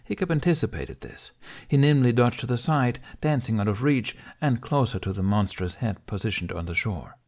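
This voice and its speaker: A man's voice: medium pitch, expressive, normal speed, darkish tone. A man narrating a scripted fantasy audiobook in a dramatic storytelling style.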